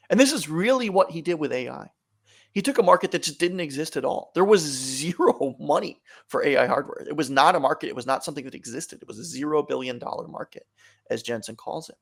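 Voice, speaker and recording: Gravelly-voiced man explaining at length. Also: a little high. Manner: thoughtful, fillers and restarts, measured explanation. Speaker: author, guest. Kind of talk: interview answer, explaining.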